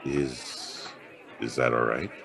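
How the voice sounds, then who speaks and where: An American actor playing a world-weary, hard-boiled private detective with a soft streak in a noir audio drama, gravelly and laconic. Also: male voice, a bass voice, flat delivery, slow pace, some chatter behind, exaggerated voice. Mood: awkward, kind. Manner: laconic, colloquial tough-guy delivery. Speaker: actor playing the lead and narrator. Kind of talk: noir audio drama dialogue and first-person narration.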